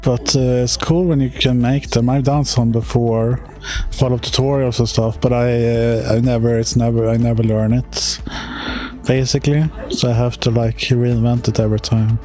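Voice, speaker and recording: A man with a Swedish accent chatting casually on a live stream, talking haltingly with lots of pauses and filler sounds. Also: medium pitch, little pitch movement, mellow, faint music behind. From Sweden. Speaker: streamer and game developer.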